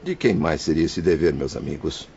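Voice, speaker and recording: A man's voice: extremely low pitch, lively intonation, moderate pace, darkish tone, dull audio, a little hiss or hum. A man playing a remorseful spirit of a father. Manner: contrite, solemn confession. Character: remorseful male suicide spirit, husband and father. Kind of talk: audio drama acting.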